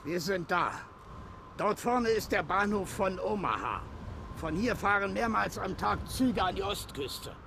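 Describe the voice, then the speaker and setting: Plain-spoken confident sled driver, audio drama, high creaky voice, clear noise behind. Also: male voice, lively intonation, a little slow, heavily acted. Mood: businesslike.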